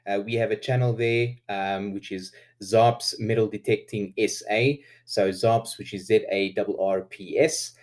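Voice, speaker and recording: Relaxed South African man, Afrikaans native. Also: fairly low pitch, a little slow, hardly any pauses. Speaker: guest. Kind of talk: casual interview chat.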